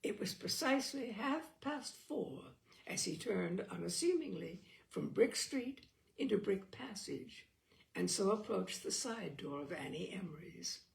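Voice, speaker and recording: A woman reading a literary short story aloud as an expressive, theatrical storyteller. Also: medium pitch, measured pace, vocal fry. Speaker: storyteller, audiobook reader.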